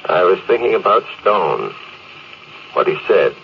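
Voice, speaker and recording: A deep-voiced man as a proud, stoic career space officer in a vintage radio play. He speaks with self-important command. The old broadcast is muffled, with a faint hiss underneath. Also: very animated, unhurried, gravelly, full character voice. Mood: grave, reflective. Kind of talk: old-time radio drama acting.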